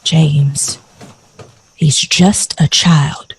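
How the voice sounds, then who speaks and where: A woman acting a weary, worried wife and mother in an audio drama, with a breathy, husky voice. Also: deep voice, fairly even intonation, extremely slow, full character voice, low-quality recording. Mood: hushed, soothing.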